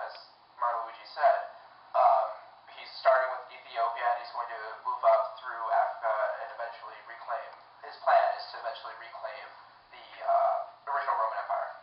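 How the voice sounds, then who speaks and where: A man playing an earnest political analyst who explains things in a hesitant, halting way. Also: medium pitch, a little hiss or hum, natural voice. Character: earnest male political analyst guest on newscast. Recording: very echoey room, distant muffled microphone.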